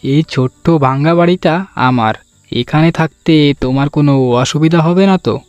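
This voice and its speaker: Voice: medium pitch, a little fast, darkish tone, clear, resonant tone, no room sound, muffled recording, faint music behind, lightly acted. An actor voicing a kindly, cautious village man in a cartoon, with a concerned, hesitant manner. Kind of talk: Bengali children's cartoon voice acting.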